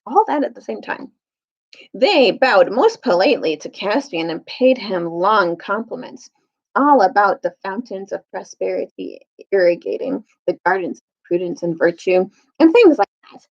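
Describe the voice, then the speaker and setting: A woman's voice: medium pitch, very animated, moderate pace, creaky, lo-fi sound. A woman reading a children's storybook aloud as a storytime reader, sometimes stumbling over longer words.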